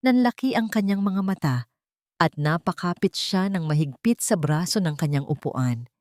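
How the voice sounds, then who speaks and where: A woman narrating a scripted dramatic story with deliberate, melodramatic phrasing. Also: on the low side, lively intonation, darkish tone, dry recording, clean studio sound.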